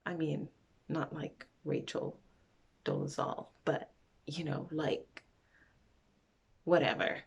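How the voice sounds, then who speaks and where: Young woman from montreal rambling on her vlog, low-ish voice, takes her time. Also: huge pitch swings, slow, gravelly, pauses often, breathy edge. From Montreal, Canada. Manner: rambling, frank, goes off on tangents. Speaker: vlogger. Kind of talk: casual get-to-know-me chat.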